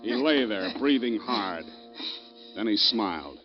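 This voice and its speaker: An actor playing a tough, sardonic private detective in an old-time radio drama, clipped and unflappable. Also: male voice, lowish voice, expressive, takes it slowly. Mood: hard-boiled narration. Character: wry, tough private detective, cool under pressure. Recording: muffled vintage radio recording, music behind.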